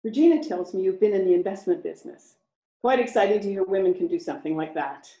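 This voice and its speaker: A woman's voice: medium pitch, expressive, fast talker, mellow, lo-fi sound, natural voice. A woman acting in a radio drama as a gracious, curious Edwardian hostess with a polite, cooing manner. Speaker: radio play actor. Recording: echoey room over video call.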